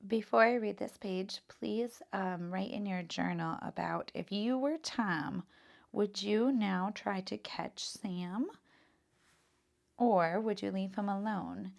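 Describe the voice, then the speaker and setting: A woman with a medium-pitched voice and noticeable vocal fry. She reads like a teacher sharing a picture book with children. Her delivery is expressive and instructive. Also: takes it slowly. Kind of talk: expressive children's read-aloud with casual teaching asides.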